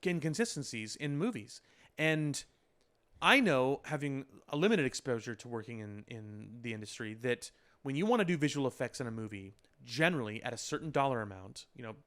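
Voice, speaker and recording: A man's voice: mid-range voice, animated, brisk, fairly bright tone. A man co-hosting a casual podcast about movies, building his points with long comparisons and a few restarts. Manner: analytical, builds points with extended metaphors. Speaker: podcast co-host. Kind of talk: casual chat.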